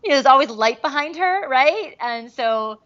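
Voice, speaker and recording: A woman's voice: high-pitched, moderate pace, lo-fi sound. A woman, a voice actress, telling stories in a casual interview, chatty and full of "like" fillers. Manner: chatty, many "like" fillers, animated re-enacted quotes.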